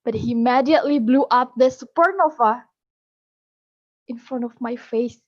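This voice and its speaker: A woman reciting a spoken-word poem in a slow, measured delivery with a bitter edge. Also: a little high. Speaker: poetry contestant. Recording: dull lo-fi recording.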